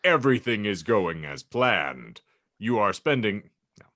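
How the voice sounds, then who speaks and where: A man's voice: lowish voice, big pitch swings, a little slow, pushed and bright, no room sound, studio quality. A man hosting a casual group podcast, speaking in a wordy, theatrical way. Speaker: podcast host. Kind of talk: casual group chat with a read-out prompt.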